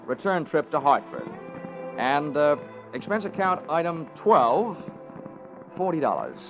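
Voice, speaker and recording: An American actor playing a tough, wry insurance investigator who narrates his case in clipped, deadpan 1940s radio detective style. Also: on the high side, unhurried. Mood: wry, dry. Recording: dull vintage radio recording with music.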